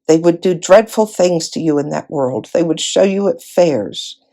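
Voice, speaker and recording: A woman voicing a great wise male lion in a storybook, speaking gently and gravely. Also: on the low side, lightly acted. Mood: gentle, grave. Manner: solemn, gentle, measured.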